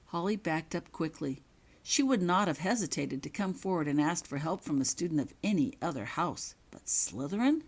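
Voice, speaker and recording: A woman reading her own fiction as a scripted, dramatic story narration, in measured, suspenseful short phrases. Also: fairly low pitch.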